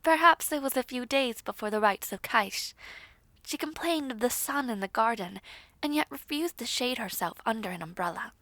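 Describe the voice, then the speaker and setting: A woman playing a high-voiced, timid young princess. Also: animated, normal speed, pushed and bright, no room sound. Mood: tentative, wistful. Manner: hesitant, formal, courteous. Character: timid, polite young princess, awkward and apologetic. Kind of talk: audio roleplay acting.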